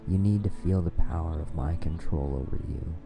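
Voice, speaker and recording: Male dominant hypnosis narrator: very low, gravelly register, commanding and repetitive scripted delivery, music underneath. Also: moderate pace.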